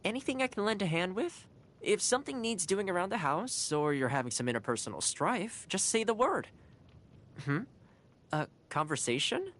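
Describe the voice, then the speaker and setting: A woman's voice: mid-range voice, very animated, moderate pace. A woman voicing a cheerful, caring young male housekeeper in a video game, friendly and earnest. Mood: friendly, chatty. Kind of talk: video game character voice lines.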